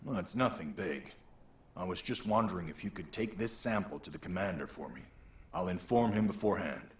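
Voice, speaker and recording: An actor playing an earnest, politely persuasive field worker in a video game, explaining things in a measured way. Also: male voice, low-pitched, animated, echoey room, a little hiss or hum. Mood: coaxing.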